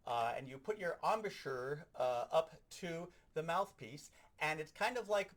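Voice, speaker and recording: A man with a slightly high voice teaches in a practical, show-and-tell style. His intonation moves up and down a great deal as he explains each step like an animated instructor. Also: a little slow. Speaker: sound effects instructor. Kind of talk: hands-on instructional demonstration.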